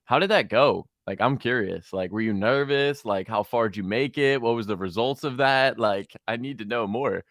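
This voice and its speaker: Guy co-hosting a music stream, chatty and excitable, mid pitch, dry sound. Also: normal speed, few pauses, no room sound. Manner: chatty, many fillers, excitable. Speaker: co-host reviewing music. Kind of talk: casual stream chat.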